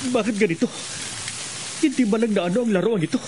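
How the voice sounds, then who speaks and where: A man's voice: high-pitched, fairly quick, natural voice. A man playing an intense, desperate and angry husband in a radio horror drama. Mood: horrified, angry. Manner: intense, emphatic. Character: desperate, enraged husband battling a cursed toy. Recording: clear rain-like noise behind.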